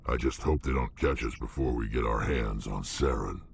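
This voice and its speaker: An actor playing a gruff, loyal alien warrior in a video game, speaking tersely in a gravelly voice. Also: male voice, rumbling low, moderate pace, exaggerated voice. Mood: steady, loyal. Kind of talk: video game cutscene acting.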